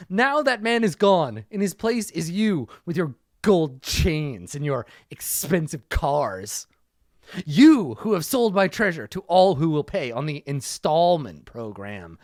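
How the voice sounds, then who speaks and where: A man's voice: sits high, no echo, studio quality, full character voice. A man reading as a stern old kung fu master. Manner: grave, scolding.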